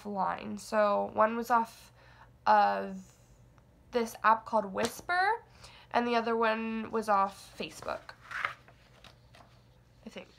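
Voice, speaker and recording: A woman's voice: on the high side, creaky, a bit bright. A nineteen-year-old woman vlogging casually, rambling and hesitant, with lots of fillers and self-deprecating asides. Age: young adult. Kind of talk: casual confessional vlog.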